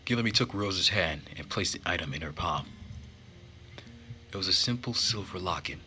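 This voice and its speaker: Male gaming host, low but very bright and forward, reads story narration and comments casually. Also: animated, normal speed. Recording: music behind the voice.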